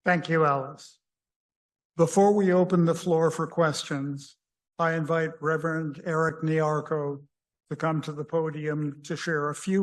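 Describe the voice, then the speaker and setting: Man chairing a meeting in a flat voice. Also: on the high side. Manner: courteous, procedural. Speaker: acting president chairing a meeting.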